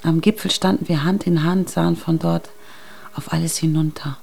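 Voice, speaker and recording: A weary ageing mother in a radio play, with a deep female voice and a crackle of fry. She sounds wistful and reflective, keeping everything understated. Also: fairly even intonation, moderate pace, dark tone, faint music behind, full character voice. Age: middle-aged or older. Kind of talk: German radio drama acting.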